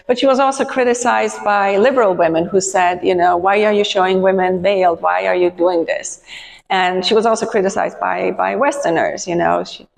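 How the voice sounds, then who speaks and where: A woman's voice: mid-range voice, steady tone, normal speed, vocal fry. A woman curator leading a gallery tour for docents, talking conversationally with frequent ums and restarts. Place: large museum gallery. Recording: reverberant gallery hall.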